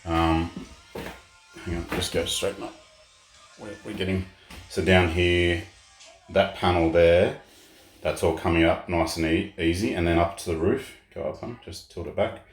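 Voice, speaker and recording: A man's voice: sits low, steady tone, a little slow, pauses often. A man chatting casually for a vlog, plain-spoken and brief, as he shows hands-on work. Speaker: vlog co-host showing renovation work. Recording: roomy echo with faint music behind. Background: music from a radio.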